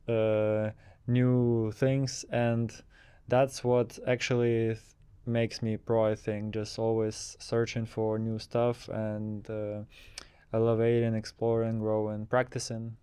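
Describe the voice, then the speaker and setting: Man, very crisp resonant voice, halting phrasing, casual interview answers. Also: mid-range voice, monotone, dark tone. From Kazakhstan. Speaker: interviewed DJ and techno producer.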